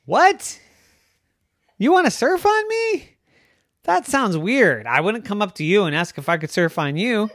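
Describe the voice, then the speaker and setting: A blunt, fussy sea turtle, put on by a storyteller for a bedtime story, squealing high whenever it is surprised or upset. The voice leaps and swoops wildly across its range. It sits dry and close, with no room around it. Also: sing-song, a little slow, few pauses, fairly bright tone, dry recording, exaggerated voice. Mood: incredulous.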